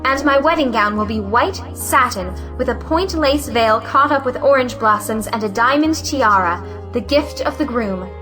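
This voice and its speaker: A woman's voice: a little high, expressive, normal speed, music in the background, close to an everyday voice. A woman playing a cynical, mocking young bride-to-be in an audio play, delivered theatrically. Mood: bitter sarcasm.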